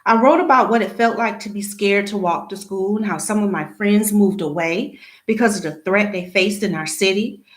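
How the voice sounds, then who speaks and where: A woman reading a children's picture book aloud, phrase by phrase, with expressive emphasis at the start of sentences. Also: medium pitch, normal speed. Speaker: read-aloud storyteller. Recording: echoey room.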